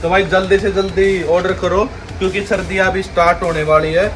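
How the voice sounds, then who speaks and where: A young man giving a repetitive, pushy sales pitch for wholesale coats in a big indoor warehouse, filmed on a handheld phone. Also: level tone, fairly quick, background noise. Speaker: wholesale clothing seller. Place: large indoor clothing warehouse.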